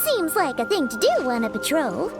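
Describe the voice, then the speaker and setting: Squeaky, very high childlike fairy. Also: female voice, wildly swooping pitch, normal speed, loud music behind the voice, exaggerated voice. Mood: curious. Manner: refers to self in third person, exclamatory. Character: tiny excitable female childlike fairy companion, chatty and easily alarmed. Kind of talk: animated video game acting.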